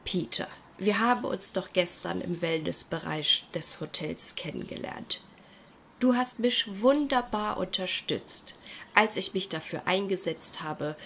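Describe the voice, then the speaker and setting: Woman acting a slow, gushing, low-pitched activist. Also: creaky, a bit bright, slight background noise. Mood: earnest, smug. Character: gushing, self-righteous female activist admirer, comedic caricature. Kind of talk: parody audio drama acting.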